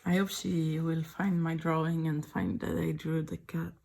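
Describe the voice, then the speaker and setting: Woman, French accent, middle-aged, gravelly, husky, soft whispery chat, slow. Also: deep voice, little pitch movement. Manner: soft-spoken, often whispering. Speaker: artist showing her sketchbook. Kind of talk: casual chat.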